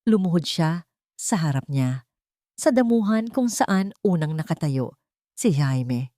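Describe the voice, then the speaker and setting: A woman's voice: lowish voice, expressive, a little slow, warm tone, dry recording, clean studio sound. A woman reading scripted, dramatic story narration.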